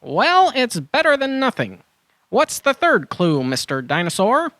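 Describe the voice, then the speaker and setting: A performer playing an eager animal adventurer in a children's audio drama, earnest and expressive. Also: medium pitch, measured pace, no room sound. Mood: urgent.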